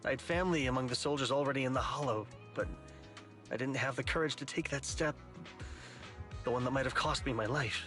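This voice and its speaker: A man's voice: medium pitch, brisk, bright, forceful tone, faint music behind, lightly acted. A man playing an apologetic, guilt-ridden civilian in a game, speaking earnestly and with hesitation. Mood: remorseful. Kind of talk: dramatic game voice acting.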